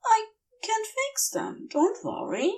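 A woman voicing a gentle, fussy male angel in an audiobook, woozy and fondly reassuring, with drifting speech. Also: very high voice, huge pitch swings, slow, voice pushed up, exaggerated voice. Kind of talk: acted audiobook dialogue.

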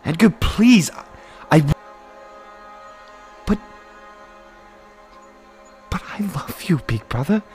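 A man's voice: high voice, big pitch swings, slow, pauses often, full character voice. A man playing a devoted teenage younger brother in a melodramatic audio drama, earnest, emphatic and pleading. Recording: voice under loud music. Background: music.

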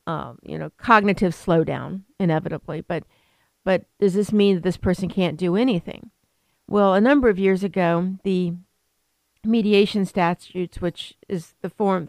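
A woman's voice: lowish voice, a little mellow, smooth, dry recording. A woman attorney explaining elder law on a radio talk show, rambling with many fillers and restarts. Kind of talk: radio interview.

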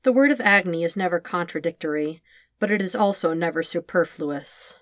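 A woman reading fan fiction aloud as a podfic, in a calm, measured narration. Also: on the low side, no echo. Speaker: podfic reader.

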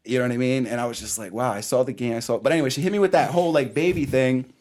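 A man's voice: medium pitch, rapid-fire. A man in his twenties telling a story in casual, slangy chat, often laughing as he goes. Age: 20s. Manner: animated, slangy, laughs through his stories. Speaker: podcast co-host.

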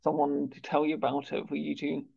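A man with a stammer who speaks haltingly, repeating words and sounds as he hosts a conversational interview. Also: on the high side, monotone, gravelly, warm tone. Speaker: interviewer and stammering awareness campaigner. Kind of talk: conversational interview on a video call. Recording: dull, lo-fi video call audio.

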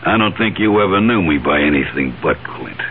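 Creepy radio drama blackmailer, super low voice, sly n taunting, noisy old broadcast. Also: male voice, animated. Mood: sly menace. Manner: sly, taunting, drawn-out delivery. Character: menacing, grinning male blackmailer from the past. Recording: noisy, muffled 1970s radio broadcast recording.

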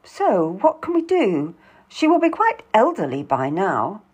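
A woman's voice: medium pitch, wildly swooping pitch, a little slow, darkish tone, slightly rough, exaggerated voice. A woman voicing a composed, sceptical genteel lady, cool and unconvinced, in an audiobook story.

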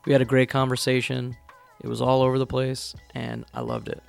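A man hosting a casual podcast interview, his voice gravelly and full of vocal fry. He talks with easy enthusiasm and keeps agreeing eagerly with his guest. Faint music sits behind him. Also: mid-range voice, sing-song, brisk. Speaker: podcast host.

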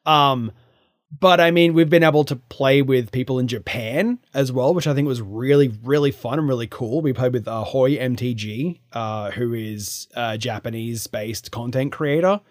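A young man talks in a loose, chatty way on a podcast, breaking into laughter and quick asides. His voice sits at a middling pitch, and the dry, close recording has almost no room sound. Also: no echo. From Australia. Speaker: podcast co-host. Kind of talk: casual chat.

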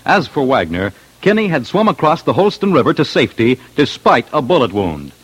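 A man's voice: mid-range voice, sing-song, brisk, husky, no echo, poor audio. A man narrating a scripted radio documentary in a dramatic style. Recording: old radio broadcast with music. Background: loud music.

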